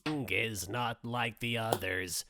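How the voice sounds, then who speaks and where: A man's voice: lowish voice, fairly even intonation, slow pace, sharp tone. A man voicing an arrogant, irritable young rival duelist in a parody anime dub, haughty and scornful. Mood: smug.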